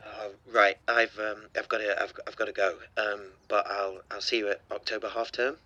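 Bright-voiced, awkward teenage boy. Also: fairly low pitch, flat delivery, no echo. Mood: shy, tender. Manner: hesitant, stammering, halting. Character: awkward, tender teenage schoolboy, shy and unsure. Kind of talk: naturalistic audio drama dialogue.

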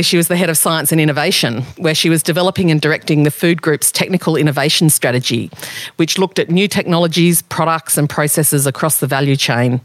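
A low-pitched woman hosting a podcast in a chatty, easy manner, laughing often between questions. Her voice sits close and dry, with no room around it. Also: no echo. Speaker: podcast host and editor. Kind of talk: casual interview.

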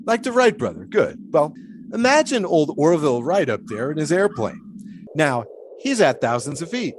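A man's voice: a little high, sing-song, moderate pace, noisy background. An actor playing a grandiloquent, philosophical 1920s showman in an audio drama, musing in wordy, theatrical speeches. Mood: lofty, explaining.

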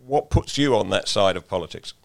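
A man interviewing a guest, asking measured, deliberate questions and stumbling over his openings. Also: sits low, animated, gravelly, no echo. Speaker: interviewer and columnist.

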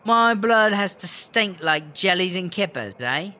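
A man's voice: high-pitched, expressive, unhurried, sharp tone, a little hiss or hum, heavily acted. A man playing a brash, easily offended English battle rapper in a comedy audio drama, ranting in crude, sarcastic slang. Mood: mock indignant.

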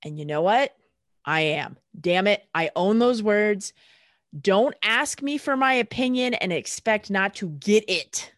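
A woman's voice: mid-range voice, expressive, unhurried, dry recording, studio quality. A woman guest speaking candidly in casual conversation, blunt and emphatic, swearing freely. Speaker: podcast guest.

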